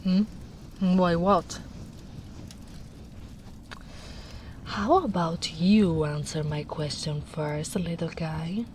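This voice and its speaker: Soft, unhurried, low-voiced gentle ogress played by a woman. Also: steady tone, slight background noise. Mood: gently teasing. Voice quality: soft, hushed. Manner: unhurried, soothing, lightly teasing. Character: gentle big strong female ogre, caring, teasing, protective. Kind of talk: soft-spoken ASMR roleplay.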